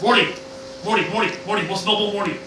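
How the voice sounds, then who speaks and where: A man's voice: high-pitched, quick pace, lightly acted. A man playing a boozy, grandiose old scientist grandfather in an amateur Shakespeare parody radio play. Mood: solemn, grandiose. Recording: roomy, distant, noisy amateur room recording.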